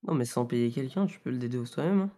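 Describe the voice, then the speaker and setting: A man's voice: medium pitch, lively intonation, quick pace, warm tone. A man talking as server staff in a casual voice chat, colloquial and matter-of-fact. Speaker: game server staff member.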